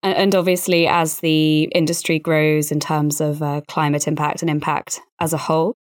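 Female podcast host, low-pitched and close-recorded, polite, conversational, feeling her way through questions and comments. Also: level tone, moderate pace, dry recording. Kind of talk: podcast interview and discussion.

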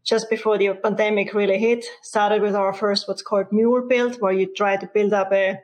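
A woman with an Austrian accent, a company co-founder telling her story in a relaxed interview. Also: medium pitch, moderate pace. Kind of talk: casual interview talk.

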